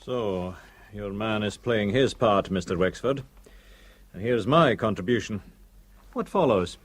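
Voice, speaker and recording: A cold conspirator probes in a measured way in a radio play. His voice is husky and fairly low, yet it moves up and down a lot. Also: animated. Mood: cool. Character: cold scheming male conspirator. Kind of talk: 1970s radio drama acting.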